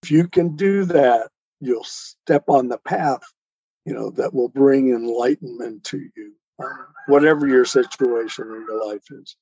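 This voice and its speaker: Husky, mellow man on a dull recording. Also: medium pitch, measured pace, no echo. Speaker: podcast guest, author and poet. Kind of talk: conversational interview answers. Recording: dull, muffled lo-fi sound.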